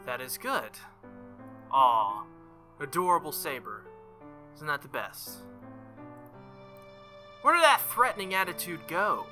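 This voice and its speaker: A man's voice: fairly high pitch, huge pitch swings, unhurried, pauses often, pushed and bright. A man hosting a gaming playthrough, reading visual novel text aloud and adding casual commentary, with game music behind him. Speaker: gaming channel host.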